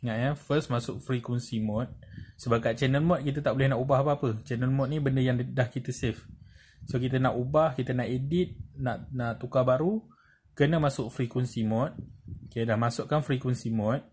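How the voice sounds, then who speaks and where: A man with a Malaysian accent giving an informal hands-on tutorial, explaining step by step in a halting way with frequent check-in tags. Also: medium pitch, steady tone, darkish tone. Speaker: presenter of a tutorial. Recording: clearly noisy amateur recording with clicks.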